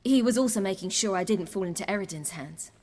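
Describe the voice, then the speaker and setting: An actress playing a young hunted woman warrior in a video game, earnest, wry and defiant, telling things in a measured way. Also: mid-range voice, lively intonation, big-room sound, noisy background, lightly acted. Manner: measured, earnest storytelling with dry wit. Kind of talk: video game dialogue acting.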